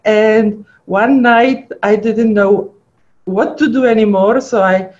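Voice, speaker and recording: A woman's voice: medium pitch, fairly even intonation, slow, darkish tone. A middle-aged woman from Poland with a Polish accent, a guest pathologist chatting and telling stories in an interview. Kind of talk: casual interview chat. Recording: dull lo-fi audio.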